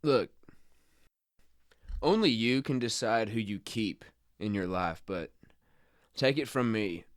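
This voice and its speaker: A man acting in a radio play as a gruff but kind injured bull rider, talking in a halting, folksy way. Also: lowish voice, animated, no echo, clean studio sound. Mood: gentle, awkward.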